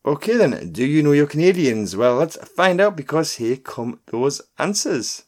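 A man hosting a quiz podcast, reading out scripted questions and clues in a deliberate announcing manner. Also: fairly high pitch, very animated. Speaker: pub quiz podcast host. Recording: music bed under the voice. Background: background music.

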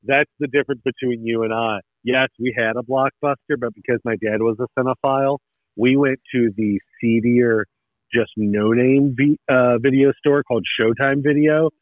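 A man's voice: mid-range voice, fairly even intonation, normal speed. A man in his thirties talks in a rambling, nostalgic way, often stopping to restart a thought. His voice comes through a narrow, tinny phone-line sound. There is no room echo at all. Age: 30s. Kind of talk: casual chat.

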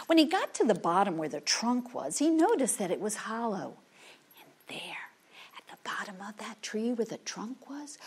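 Animated, unhurried woman storyteller. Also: fairly high pitch, breathy edge. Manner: dramatic, drops into hushed whispers for suspense. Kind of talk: oral folktale storytelling to an audience.

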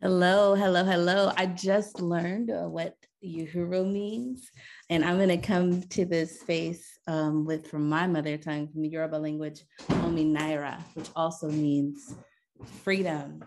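A woman's voice: low voice, flat delivery, a little slow, creaky, darkish tone, slight background noise. A woman from Los Angeles, executive director of an advocacy group, talking upbeat with lots of ums. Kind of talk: casual panel talk.

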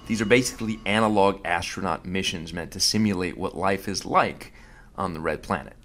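A man's voice: sits low, brisk, a bit bright, a little hiss or hum. A man hosting a podcast, narrating his visit in a casual, conversational way with fillers and restarts. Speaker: host.

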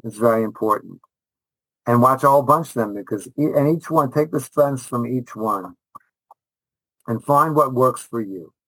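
A man's voice: medium pitch, moderate pace, fairly bright tone, no room sound, low-quality recording. A middle-aged man, a rabbi and teacher answering interview questions conversationally, enthusiastic and emphatic, repeating phrases and often restarting.

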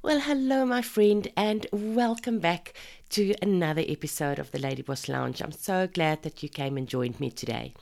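Podcast host, woman in her 50s, low and croaky from a cold, friendly pep-talk delivery. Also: moderate pace, darkish tone. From Zimbabwe. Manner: chatty and encouraging, addresses the listener as a friend. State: hoarse from a cold. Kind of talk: casual motivational podcast monologue.

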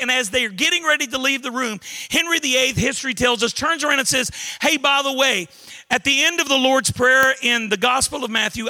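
A Southern pastor from Louisiana preaches in a high, bright, forceful voice. He is a middle-aged man who leans on folksy humor and quick asides. He often restarts his words mid-phrase as he teaches. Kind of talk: sermon.

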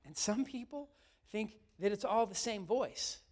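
Brief: middle-aged male pastor, high voice, slightly raspy, lively sermon that keeps asking questions. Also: slow pace. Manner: lively, asks the room questions often.

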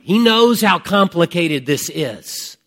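A man in his fifties preaching a conversational sermon, reflective and emphatic, with deliberate pauses and repeated phrases. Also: sits high, lively intonation, a bit bright. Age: 50s. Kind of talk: conversational sermon with personal testimony.

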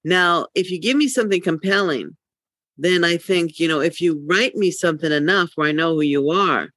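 A woman's voice: on the low side, moderate pace, a bit bright, no room sound. Rambling, candid middle-aged woman in close, dry sound. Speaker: podcast co-host. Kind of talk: casual chat.

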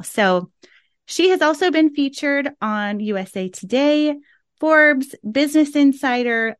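A woman hosting a podcast interview, upbeat and encouraging as she introduces her guest. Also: sits high. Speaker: podcast host.

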